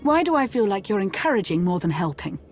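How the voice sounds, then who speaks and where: A woman playing a composed, shrewd strategic advisor in a video game, measured and dry in delivery. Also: medium pitch, very animated, faint music behind, natural voice. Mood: exasperated.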